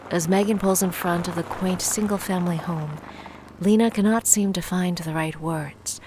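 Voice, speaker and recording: A woman's voice: lowish voice, normal speed, darkish tone, slight background noise, poor audio. A woman reading scripted dramatic narration for an audio drama.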